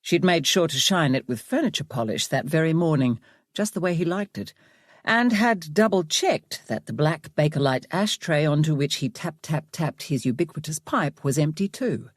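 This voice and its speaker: Audiobook narrator: woman, animated range of pitch, very dry studio sound. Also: moderate pace, dry recording. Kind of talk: scripted fiction narration.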